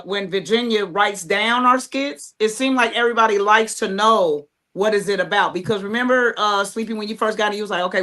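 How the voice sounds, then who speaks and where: A woman's voice: medium pitch, fairly bright tone. A woman from Ohio, a theater director and podcast host, chatting casually and acting out examples as she explains.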